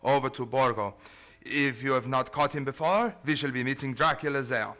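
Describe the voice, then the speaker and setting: An actor playing an urgent, commanding vampire hunter in a radio play, solemn and dramatic, with stilted phrasing. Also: male voice, medium pitch, normal speed, fairly bright tone, slight background noise, close to an everyday voice. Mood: solemn, resolute.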